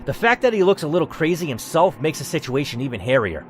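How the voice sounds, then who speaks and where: Male gaming host, lively and slightly high-voiced, dramatic story narration mixed with sweary off-the-cuff commentary. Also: fast talker, slight background noise. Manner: theatrical, expressive reading with blunt, sweary asides. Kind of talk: dramatic narration of a text adventure with casual asides.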